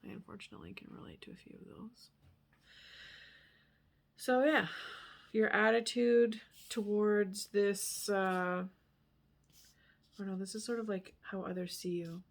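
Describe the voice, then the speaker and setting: A woman giving a casual, rambling tarot reading in a candid, musing manner, sometimes trailing off into hushed asides. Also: mid-range voice, steady tone, unhurried, pauses often. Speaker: tarot reader.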